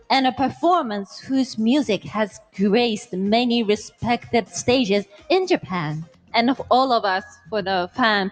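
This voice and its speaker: A woman co-hosting a live pageant, announcing formally in non-native English. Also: on the high side. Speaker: pageant co-host. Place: large arena stage.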